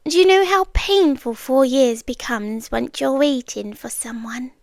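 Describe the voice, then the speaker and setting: A British woman playing an immortal, obsessively patient yandere stalker in an intimate ASMR roleplay, speaking softly and deliberately, often dropping into whispers, close to the microphone. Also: high voice, vocal fry, no room sound. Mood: wistful, tender.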